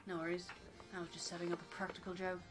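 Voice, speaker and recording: A woman's voice: on the low side, completely flat delivery, fast talker, music in the background, close to an everyday voice. Woman doing a furtive, cheeky sailor prankster. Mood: cagey, sly.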